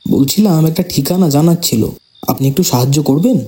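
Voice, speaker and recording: Casting: man acting inquisitive, polite friend for audio horror drama, lightish high pitch, dark soft timbre. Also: fairly quick, lightly acted. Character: polite, curious, respectful male friend and visitor.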